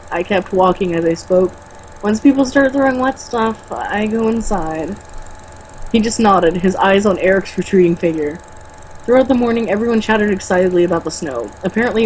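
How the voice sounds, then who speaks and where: Young woman, mid-pitched, exasperated and sarcastic book reader; casual, sweary, faint noise on a homemade recording. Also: a little mellow, low-quality recording. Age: young adult. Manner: sarcastic, exasperated, foul-mouthed. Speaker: co-host reading a book aloud with mocking commentary. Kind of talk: casual read-aloud with exasperated commentary.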